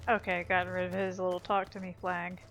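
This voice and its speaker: A woman's voice: on the low side, completely flat delivery, fairly quick, gravelly, fairly bright tone. A woman doing casual let's-play commentary, chatting to herself as she plays. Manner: chatty, talks to herself while playing. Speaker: gameplay streamer. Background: video game music and sounds.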